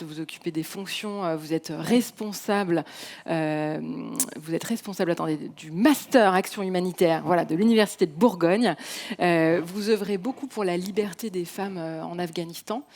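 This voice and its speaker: Host: woman, fairly low pitch, hesitant interviewer, lots of fillers. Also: normal speed, a little mellow. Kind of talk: live event interview. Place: hall at a public evening event.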